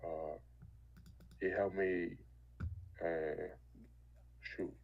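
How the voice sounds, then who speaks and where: Low gravelly man with a Dominican accent. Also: flat delivery. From Dominican Republic. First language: Spanish. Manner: halting, restarts often. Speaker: caller. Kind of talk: casual chat on a voice call. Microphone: voice chat call. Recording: muffled voice call audio.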